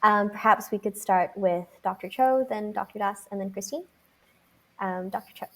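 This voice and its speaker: A woman's voice: mid-range voice, moderate pace, a bit bright. A young woman from Singapore moderating a panel discussion over a video call, reflective, with frequent um fillers.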